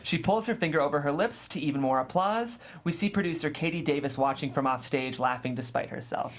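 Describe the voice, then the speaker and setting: A man's voice: on the high side, a little fast. A man serves as narrator at a script table read. He reads scene descriptions and stage directions as scripted narration.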